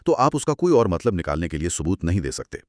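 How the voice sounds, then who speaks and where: A man's voice: sits low, very animated, fast, a little mellow, no echo, clean studio sound. A man co-presenting a lecture as a scripted two-person dialogue, explaining in a didactic way.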